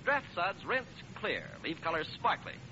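Lively high-voiced vintage radio announcer man. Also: measured pace. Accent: American. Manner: chummy, emphatic sales delivery. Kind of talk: 1940s radio commercial announcing. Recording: muffled, noisy old radio recording.

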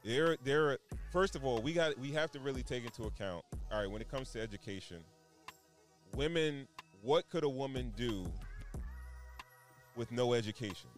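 A man's voice: medium pitch, lively intonation, normal speed, soft background music. Blunt, profane man pushing back. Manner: blunt, profane, dismissive pushback. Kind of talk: casual podcast debate.

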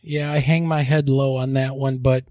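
A man co-hosting a podcast, chatty and upbeat in casual talk, quick to laugh. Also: medium pitch, level tone, a little mellow, no echo, clean studio sound. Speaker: podcast co-host.

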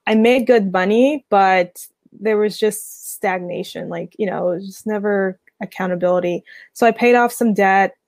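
A young woman from Guyana, a guest talking casually in an interview, relaxed, with many fillers and restarts. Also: medium pitch, moderate pace, no echo. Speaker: guest, finance community founder.

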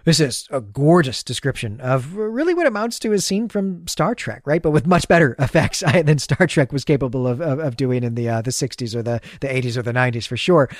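A man's voice: mid-range voice, animated, brisk, few pauses, no room sound, studio quality. A man co-hosting a literary recap podcast, articulate and enthusiastic as he talks through a story. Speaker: podcast co-host. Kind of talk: literary recap discussion, semi-prepared.

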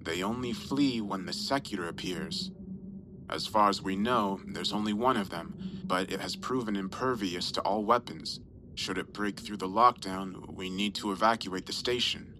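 Over clear background noise, a man acts out a commanding male leader of an expedition crew. His voice sits fairly low and his delivery is terse and steady, as in a horror audio story. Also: moderate pace, close to an everyday voice. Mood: grave. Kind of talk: horror story character dialogue.

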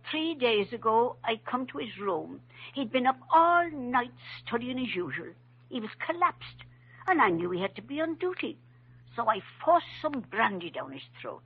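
A woman's voice: a little high, sing-song, moderate pace, breathy edge, full character voice. A woman acting a feisty, motherly rooming house landlady in a 1940s radio drama, folksy and plain-spoken. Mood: defiant. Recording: dull old radio recording.

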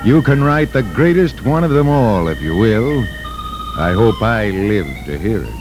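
A man acting solemn low-voiced old-radio ballplayer. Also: expressive, unhurried. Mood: solemn urging. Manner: solemn, urging. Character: earnest famous baseball player urging a songwriter on. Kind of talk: 1940s radio dramatization. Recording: vintage radio broadcast with music underneath.

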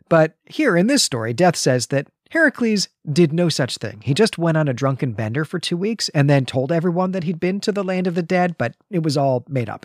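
A man's voice: mid-range voice, dry recording. Articulate man hosting, dry close sound. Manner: articulate, explanatory, tags points with "right?". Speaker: podcast host. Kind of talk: literary discussion and story recap.

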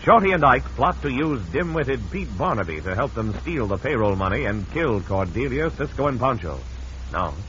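Dramatic, animated male radio announcer. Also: mid-range voice, normal speed, a little hiss or hum. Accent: American. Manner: theatrical, formal. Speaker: announcer and narrator. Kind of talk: dramatic 1950s radio serial narration. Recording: muffled vintage radio recording.

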